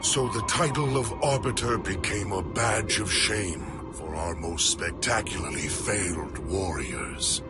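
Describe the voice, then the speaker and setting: An actor playing a weary alien warrior elder in a video game, speaking gravely and with weight. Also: male voice, low-pitched, unhurried, hardly any pauses, loud music behind the voice. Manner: grave and brooding.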